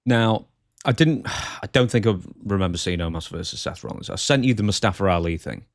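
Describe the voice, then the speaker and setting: A man's voice: lowish voice, lively intonation, fairly quick, no room sound, clean studio sound. A man chatting casually as the co-host of a podcast. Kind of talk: casual chat.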